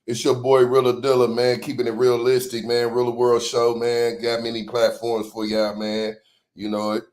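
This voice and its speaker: A man appearing as a guest in a casual podcast interview, speaking in a relaxed, slangy way and ending his phrases with "man". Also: medium pitch, normal speed. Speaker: music promoter guest.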